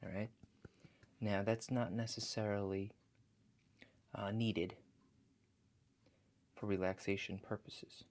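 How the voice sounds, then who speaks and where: A man playing a calm, attentive relaxation agent in a soft-spoken ASMR roleplay, talking slowly and gently, with reassuring instructions. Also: on the low side. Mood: calmly explaining. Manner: slow, gentle, reassuring, instructive, often checking in. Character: calm, attentive male house-call relaxation agent guiding breathing.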